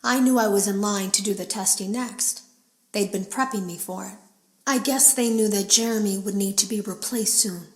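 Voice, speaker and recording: An echoey room surrounds an uneasy female game tester played by an actress. Her mid-range voice tells its story in a measured, confessional way, like secret audio logs in a horror video game. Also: mid-range voice, lightly acted. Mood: somber, troubled. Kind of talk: scripted audio log monologue in a horror game.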